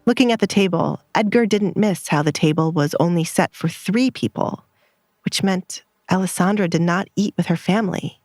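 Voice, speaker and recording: A woman's voice: lowish voice, moderate pace, a little mellow, no room sound, studio quality. A woman reading scripted dramatic fiction as the narrator of an audio story.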